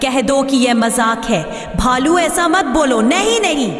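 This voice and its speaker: A very high, distant voice: a woman playing a protective animal mother in a jungle cartoon. She speaks with strong emotion and emphasis, and the sound carries long reverb. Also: animated, unhurried, a bit bright. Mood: grief-stricken. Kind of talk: animated animal story dialogue. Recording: echoey reverb, distant from mic.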